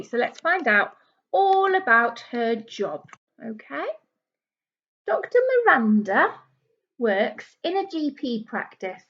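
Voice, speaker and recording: A woman with a fairly high voice reads a picture book to young children as a teacher would. She explains things in simple words and puts questions to her listeners. The recording is a little dull and lo-fi. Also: a bit bright. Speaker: primary school teacher. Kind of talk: read-aloud storybook lesson for young children. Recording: dull lo-fi small camera recording.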